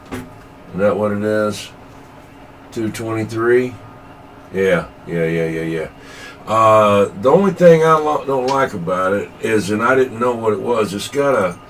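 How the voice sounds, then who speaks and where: Low-voiced man on a distant CB radio. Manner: folksy, halting, searching for words. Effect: CB radio transmission. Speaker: CB radio operator. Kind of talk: casual chat over CB radio. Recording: distant, slightly noisy CB radio transmission.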